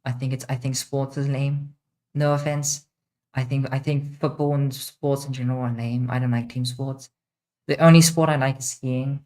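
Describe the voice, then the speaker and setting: A young woman with a mixed international accent, answering chat questions on a casual livestream, blunt and quick to swear. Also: very deep voice, flat delivery, darkish tone. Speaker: streamer.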